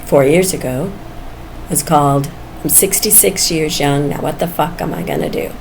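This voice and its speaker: Creaky-voiced woman of about seventy, low pitch. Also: moderate pace, a little mellow. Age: almost 70. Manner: candid, musing, chuckles often. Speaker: vlogger. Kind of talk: casual, rambling personal vlog talk. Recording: noisy background ambience.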